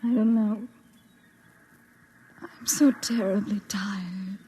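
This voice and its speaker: An actress playing a despairing, exhausted young woman in an old radio drama, breathy and anguished. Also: mid-range voice, fairly even intonation, slow pace, warm tone, close to an everyday voice. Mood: weary, fragile. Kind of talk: 1950s radio drama acting. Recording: noisy vintage radio with surf ambience.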